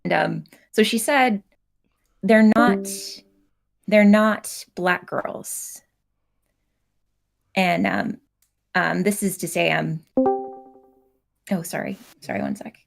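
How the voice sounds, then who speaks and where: A soft-spoken woman, a guest comic creator on a video call, telling a personal story in a hesitant, casual way with many fillers. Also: lowish voice, animated, takes it slowly, gravelly, long pauses, faint music behind. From United States.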